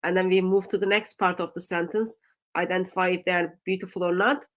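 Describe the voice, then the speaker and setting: A woman with a Turkish accent, a native Turkish speaker, hosting a livestream discussion and talking haltingly with many ums. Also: lowish voice, steady tone. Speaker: stream host.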